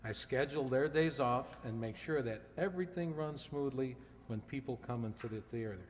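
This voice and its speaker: An earnest young male contestant played by a man in an old-time radio comedy. He is polite and literal-minded, with a medium-pitched voice carrying the long reverb of a hall. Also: normal speed, slight background noise, close to an everyday voice. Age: 20s.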